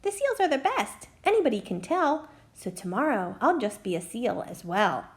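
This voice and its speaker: A woman's voice: a little high, big pitch swings, moderate pace. A woman voicing an eager, boastful male emu in a children's picture book read-aloud. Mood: eager, decided.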